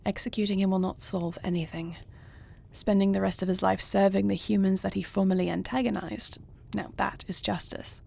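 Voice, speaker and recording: A woman playing a gracious, resolute dragon queen in an intimate audio roleplay, speaking to her spouse with measured, formal phrasing and soft affection. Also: mid-range voice, fairly even intonation, vocal fry, slight background noise. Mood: composed, resolute. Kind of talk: intimate audio roleplay acting, addressing the listener.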